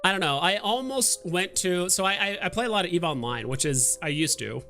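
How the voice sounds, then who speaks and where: A man hosts a livestream with a fairly high, forward and very bright voice. He chats casually and hesitantly, thinking aloud as he goes. Soft music sits in the background. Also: expressive, a little fast. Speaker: livestream host. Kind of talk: casual chat.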